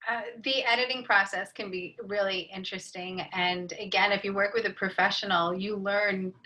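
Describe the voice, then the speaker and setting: A woman's voice: mid-range voice, fairly even intonation, moderate pace, sharp tone, poor audio. A woman panelist talking casually, with a candid and self-deprecating way of speaking.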